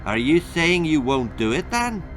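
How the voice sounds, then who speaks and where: A man acts out a scruffy little male leprechaun from a children's storybook. He speaks in a folksy Irish brogue, pitched above a normal male voice, sly and wheedling. Faint music plays behind him. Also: sing-song, unhurried. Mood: menacing.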